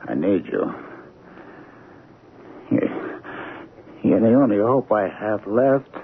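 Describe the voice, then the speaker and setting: An actor playing a shifty, self-pitying husband back from a faked death, halting and pleading, in an old-time radio drama. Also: male voice, on the low side, gravelly, heavily acted. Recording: narrow, noisy old radio recording.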